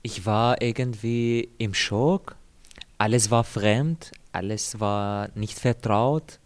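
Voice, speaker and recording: A young man from Syria, Arabic his first language, answers interview questions about his own life. His voice sits low and even. He talks in a calm, measured way, pausing and repeating words as he searches. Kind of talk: calm personal account.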